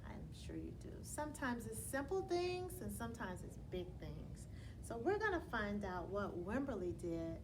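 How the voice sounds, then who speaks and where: A woman reading a children's bedtime story aloud in a teacherly, expressive way, putting questions to her young listeners as she goes. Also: mid-range voice, moderate pace, noisy background. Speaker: elementary school storyteller reading to students.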